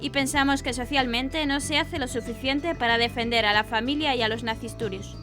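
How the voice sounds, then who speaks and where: Woman newsreader with a high voice. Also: steady tone, brisk, music in the background. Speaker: news presenter. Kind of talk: scripted news narration.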